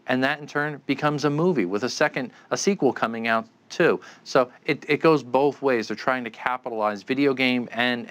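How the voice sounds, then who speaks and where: A man's voice: medium pitch, a bit bright. A man giving unscripted expert answers in an interview, explaining things with frequent stammered repeats. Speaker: expert guest, commentator.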